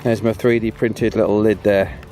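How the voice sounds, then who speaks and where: A man's voice: lowish voice, little pitch movement, normal speed, dark tone, a little hiss or hum. A man talking casually and informally outdoors as a vlog host, explaining a radio hobby in an encouraging way. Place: outdoors on a walk.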